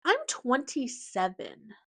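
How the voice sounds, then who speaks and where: A woman's voice: high-pitched, slow pace, no echo. Chatty twenty-something woman, dry close sound. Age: 20s. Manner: chatty, animated, hops between topics with slang. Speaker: podcast host. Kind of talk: casual personal update monologue.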